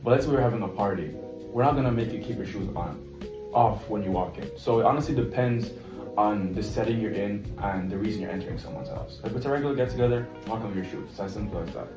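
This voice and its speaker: A young college man from Jamaica chats in a loose, easy way and keeps saying bro. He talks fast in a lowish voice. He sounds set back from the mic in a roomy space, and music plays underneath. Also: distant mic. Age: young adult. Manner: relaxed, says bro a lot. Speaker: interviewee, college freshman. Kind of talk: casual interview chat.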